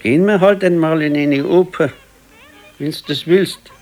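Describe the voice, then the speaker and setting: An actor playing an elderly working-class husband with a Bavarian accent in a naturalistic radio play, curt, dry and grumbling. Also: male voice, fairly high pitch, unhurried, a little mellow, faint music behind, lightly acted. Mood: dry, indulgent.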